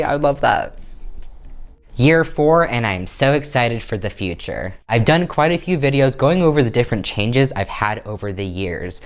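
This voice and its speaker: A young man in his twenties talking candidly to camera in a casual vlog, recorded on a lapel mic in a small bedroom. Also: mid-range voice. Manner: upbeat and candid, animated hand gestures. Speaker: vlogger. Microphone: clip-on lapel mic.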